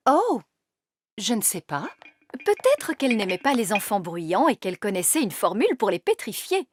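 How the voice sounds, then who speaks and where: A woman's voice: mid-range voice, normal speed, slight background noise, natural voice. Woman as a lilting, kindly fairy queen mother. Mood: patient, puzzled. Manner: expressive, patient storyteller. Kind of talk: French cartoon dub, storybook reading.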